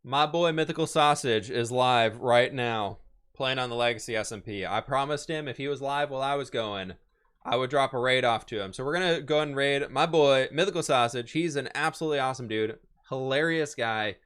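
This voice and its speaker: A man streaming a building game, upbeat and chatty, his voice a little hoarse, answering questions from chat. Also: mid-range voice, a little fast, a bit bright. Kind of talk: casual live-stream chat while building.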